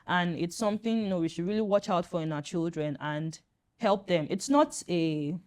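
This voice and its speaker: A woman doctor speaking on a panel. Her voice sits a little low, and she talks earnestly and reassuringly, dropping in "you know" as she explains. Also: lively intonation, normal speed, darkish tone. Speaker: pediatric doctor and guest. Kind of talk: explaining to parents in a panel chat.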